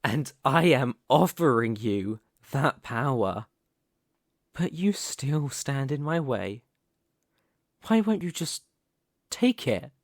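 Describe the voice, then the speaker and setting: Man voicing a young male mafia boss, possessive, coolly persuasive, condescending, unhurried delivery, close dry sound, ASMR roleplay. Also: medium pitch, big pitch swings, no echo, heavily acted. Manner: theatrical, deliberate, condescending, with pointed pauses. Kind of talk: ASMR roleplay, dramatic monologue to the listener.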